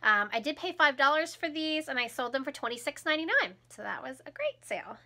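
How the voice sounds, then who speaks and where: A chatty woman in her thirties speaks close to the camera in a small room at home. Her voice sits high and rings brightly, with a slight froggy edge from a cold, and she laughs easily as she talks. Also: very animated, fairly quick. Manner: chatty and upbeat, laughs often. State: recovering from a cold, a bit hoarse. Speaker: resale vlogger. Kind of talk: casual chat.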